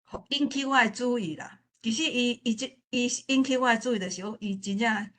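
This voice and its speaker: A woman with a Taiwanese accent giving informal remarks at a literature forum, halting and often repeating her phrases. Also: a little high, fairly bright tone.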